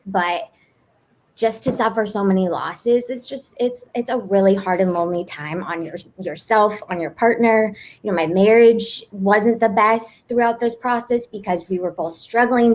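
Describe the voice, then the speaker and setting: A woman is speaking as a guest on a talk panel. Her voice sits at a medium pitch, and she shares her own experience openly, in a personal, conversational style. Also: darkish tone. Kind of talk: personal, candid conversational sharing.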